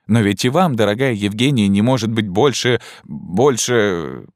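A man voicing an ardent young gentleman in love, pleading in an impassioned, rhetorical way in a storybook reading. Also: lowish voice, expressive, measured pace, no echo, clean studio sound, voice pushed up.

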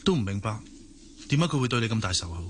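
An actor playing a loyal, concerned young man in a radio drama, earnest and excitable, with a Hong Kong Cantonese accent. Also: on the low side, quick pace, slight background noise.

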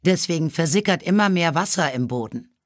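A man's voice: a little high, expressive, a little fast, dry recording, clean studio sound, pitched above the performer's own voice, lightly acted. A man voicing a worried, doubtful village woman in a children's storybook, complaining and incredulous.

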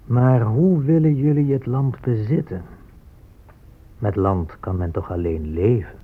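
Slow, husky, proud warrior. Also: male voice, medium pitch. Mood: wry, questioning. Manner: measured, deliberate. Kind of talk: radio drama monologue. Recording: muffled, narrow-band old radio recording.

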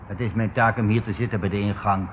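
An actor in a radio drama playing a formal, dutiful doorman who speaks in a stiff, deferential, measured way. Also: on the low side, steady tone, close to an everyday voice. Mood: formal, discreet. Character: formal, dutiful doorman of a London residential building. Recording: tinny, noisy old radio recording.

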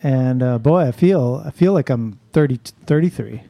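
A man's voice: medium pitch, moderate pace, creaky, dry recording. A man in his seventies, co-hosting a radio show with casual, hesitant, rambling banter and frequent laughs. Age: 70s. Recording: loud guitar music behind.

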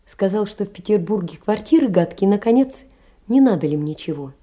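An actress playing a poor, earnest young woman confiding in a dear friend, in a measured audio-play monologue. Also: medium pitch, lively intonation, dark tone, close to an everyday voice. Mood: grave, measured. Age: young adult.

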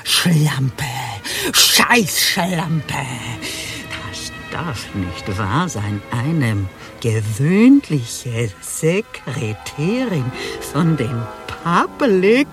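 A furious female executive in a radio drama. Her low voice swings wildly and drags out each insult with slow, theatrical contempt. She is venomous and foul-mouthed, with music behind her. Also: exaggerated voice. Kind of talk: radio play acting.

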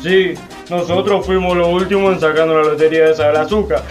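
An actor playing a folksy, indignant veteran cane cutter with a Cuban accent, colloquial and exclamatory in a radio drama. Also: male voice, a little high, little pitch movement, fast talker, close to an everyday voice. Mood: lively, wry. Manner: colloquial, exclamatory, vivid storytelling. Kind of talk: radio drama monologue in casual talk. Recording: faint music behind.

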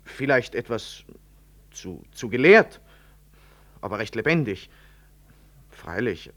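An actor playing a deferential yet callous junior hospital doctor in a 1960s radio drama, with a hesitant, colloquial manner. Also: male voice, medium pitch, very animated, slow, fairly bright tone, full character voice. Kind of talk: 1960s German-language radio drama acting.

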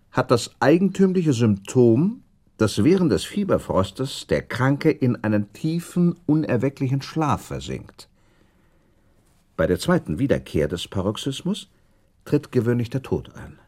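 An actor playing a rational, self-assured prince in a German radio drama, speaking in formal, deliberate, rhetorical phrases. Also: male voice, lowish voice, darkish tone. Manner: measured, rhetorical, deliberate delivery.

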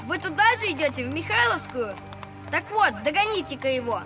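Woman voicing a schoolboy ringleader, audio drama, piping high, jeering, excitable, prominent music behind. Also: a little fast. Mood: taunting, urging. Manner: taunting, bossy, excitable. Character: cocky, jeering schoolboy ringleader, about eleven. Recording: studio drama with loud music underneath.

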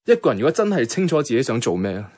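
An actor playing a self-effacing young bank worker in a radio drama, speaking casual, colloquial Hong Kong Cantonese. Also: male voice, mid-range voice, big pitch swings, quick pace, a little mellow. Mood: playful, earnest. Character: soft-hearted, self-effacing young male bank worker, secretly devoted. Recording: music playing behind the voice.

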